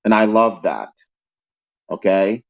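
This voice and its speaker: A man's voice: lowish voice, lively intonation, slow, vocal fry, lo-fi sound. A man from Haiti, speaking as a panel guest and sharing strong opinions in a long-winded way, often checking in with "okay?". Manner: long-winded, frequent "okay?" tag questions. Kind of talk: opinionated call-in talk.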